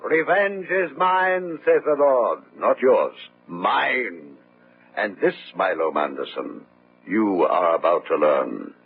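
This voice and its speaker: An actor playing a grieving, righteous widower in a radio drama, solemn and oratorical like a preacher. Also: male voice, low voice, big pitch swings, slow, vocal fry, husky, heavily acted. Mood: impassioned, solemn. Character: grieving, righteous widower passionately rebuking his master. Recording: narrow-band old radio recording.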